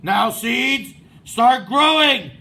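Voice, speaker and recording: Man reading as an impatient, high-pitched storybook toad. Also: animated, extremely slow, bright, forceful tone, full character voice. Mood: shouting impatiently. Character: impatient, fretful male toad, storybook gardener.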